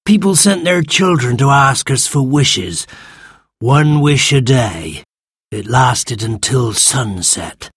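A narrator putting on a hoarse, grumpy old sand fairy. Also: mid-range voice, animated, no room sound, studio quality, exaggerated voice. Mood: matter-of-fact, gruff. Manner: slow, drawn-out, irritable delivery. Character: grumpy ancient male furry sand fairy creature.

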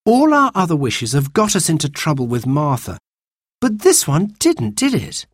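A narrator voicing a calm, sensible girl in a storybook, speaking in a measured, reassuring way. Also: mid-range voice, husky, no room sound, studio quality, voice pushed up. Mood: thoughtful.

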